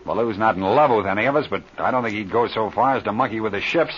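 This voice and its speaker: An actor playing a brisk, authoritative aviator boss in an old-time radio adventure serial. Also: male voice, lowish voice, breathy edge. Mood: exasperated, dismissive. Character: calm, brisk, commanding male aviator boss. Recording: dull, noisy old radio serial recording.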